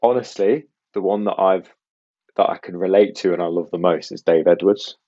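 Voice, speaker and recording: Young guy, deep voice, kinda placeless international accent, chatty and rambly, dry muffled podcast audio. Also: moderate pace, dark tone, no echo. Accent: international English, faint West Midlands traces. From Belgium. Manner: chatty, self-deprecating, rambling. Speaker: podcast guest co-host. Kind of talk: casual podcast chat.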